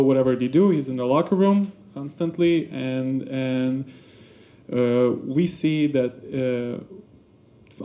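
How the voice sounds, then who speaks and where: A man presents his basketball club's work at a seminar. His voice is dark and mellow and sits a little high for a man. He talks in a halting way, with plenty of fillers and restarts. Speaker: basketball club representative presenting.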